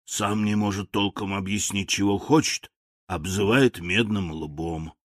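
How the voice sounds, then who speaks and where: A man voicing an impudent talking machine that grumbles in a hoarse voice, in an audiobook story. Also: fairly even intonation, a little slow, dry recording, studio quality. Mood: grumbling. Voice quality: hoarse, low.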